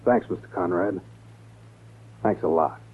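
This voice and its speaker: A man's voice: deep voice, measured pace, breathy edge. An actor playing a troubled, earnest male ex-convict with a hesitant manner in a 1940s radio drama. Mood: grateful, eager. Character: troubled, anxious ex-convict in love, easily swayed. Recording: tinny, narrow 1940s radio recording.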